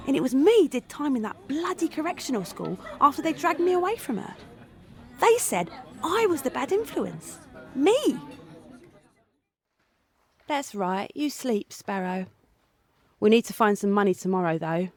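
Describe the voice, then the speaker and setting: A woman's voice: a little high, sing-song, a little slow, long pauses, a little mellow, soft background music. An actress in an audio drama playing a brash, streetwise Parisian woman with an earthy, slangy, sardonic way of talking. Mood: bitter, aggrieved. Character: brash streetwise Parisian woman, Edith Piaf's bitter companion Simone. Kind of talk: audio drama monologue and scenes.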